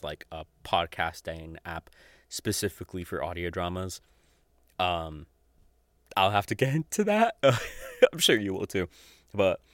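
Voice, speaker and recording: Low-voiced man, casual podcast host. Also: a bit bright, no room sound. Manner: informal, enthusiastic, sweary; often chuckles through speech. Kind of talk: casual rambling monologue recommending audio dramas.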